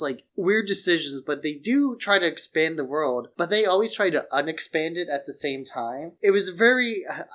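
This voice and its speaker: A man's voice: on the high side, a bit bright. A man co-hosting a podcast in a casual film discussion, rambling and opinionated, often saying "like". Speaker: podcast co-host.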